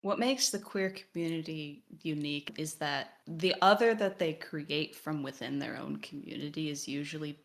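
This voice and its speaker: A woman co-hosting a podcast, giving casual analysis in an emphatic, wry way and acting out imagined quotes. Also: sits low. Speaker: podcast co-host.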